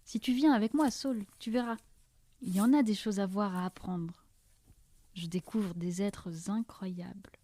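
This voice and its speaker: Woman as a wandering seed carrier in a fiction podcast, soft dark voice, chatty and amazed by everything. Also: mid-range voice, measured pace, dry recording, lightly acted. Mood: enthusiastic, marvelling. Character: nomadic female seed-bearer, enthusiastic, encouraging wanderer.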